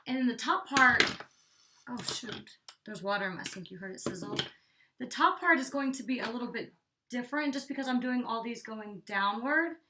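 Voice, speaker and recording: A woman's voice: a little high, animated, moderate pace, noisy background. A young woman chatting casually through a hair tutorial, rambling with lots of "like", a bit congested and out of breath. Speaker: beauty vlogger. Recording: distant from the mic.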